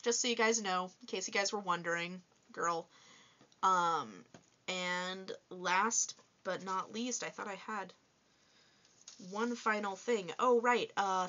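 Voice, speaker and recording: Woman, 20s, low voice, bright, chatty vlogger, lots of fillers, muffled lo-fi audio. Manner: chatty and upbeat, many fillers. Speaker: toy reviewer and vlogger. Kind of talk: casual vlog answering viewer questions. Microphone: voice-over with face unseen.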